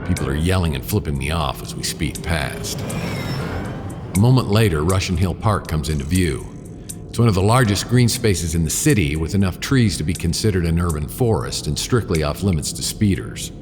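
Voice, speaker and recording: A hard-boiled male private eye narrates a noir radio drama in an extremely deep voice. He is wry and laconic. His voice carries a long reverb, with music clearly playing behind it. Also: lively intonation, normal speed. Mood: tense, focused.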